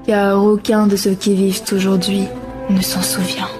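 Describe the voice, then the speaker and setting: A woman playing a solemn, wistful narrator of an ancient epic, measured, in a film prologue dub. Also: medium pitch, monotone, lightly acted. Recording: music under the voice.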